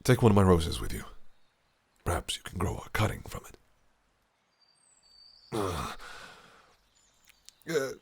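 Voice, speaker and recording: A man playing a silky, gravelly fae prince. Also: deep voice, big pitch swings, unhurried, pauses often, heavily acted. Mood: charming. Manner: silky, teasing, menacing. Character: possessive, cunning male fae prince. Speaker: voice actor in an audio roleplay. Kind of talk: listener-directed audio roleplay.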